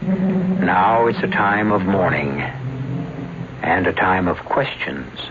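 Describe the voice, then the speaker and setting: An actor playing a grave, ominous narrator of a radio mystery, with solemn, deliberate phrasing. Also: male voice, deep voice, huge pitch swings, a little hiss or hum, full character voice. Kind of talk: dramatic scripted radio narration. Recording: muffled, faintly humming old radio broadcast.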